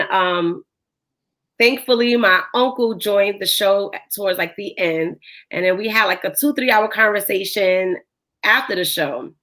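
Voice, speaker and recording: A woman with a hoarse voice, hosting a podcast in a casual chat full of fillers. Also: medium pitch, fairly bright tone. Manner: chatty, filler-heavy. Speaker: podcast host.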